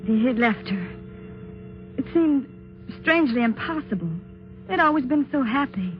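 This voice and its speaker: A woman's voice: high-pitched, expressive, normal speed. An actress playing a worried, stubborn young married niece, narrating her tale in a dramatic 1950s radio suspense style. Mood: apprehensive. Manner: earnest, dramatic, insistent. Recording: muffled old radio recording, music behind.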